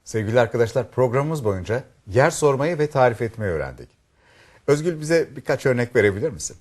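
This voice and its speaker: A man's voice: mid-range voice, very animated, a little fast, darkish tone. A man hosting a language lesson, explaining phrases in a scripted way and addressing the viewers directly.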